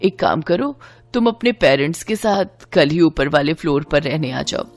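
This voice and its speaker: Woman playing a low-pitched, chatty middle-aged landlady. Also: animated, moderate pace. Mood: friendly.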